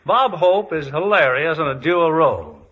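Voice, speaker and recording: A man's voice: on the high side, expressive, normal speed, breathy edge. A man hosting a radio show in a scripted 1950s presenting style. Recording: muffled old radio in reverberant hall.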